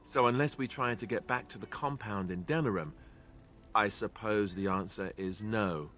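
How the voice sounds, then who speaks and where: A man voicing a young male warrior companion who talks in a plain, steady, slightly glum way. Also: fairly low pitch, moderate pace, lightly acted. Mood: resigned.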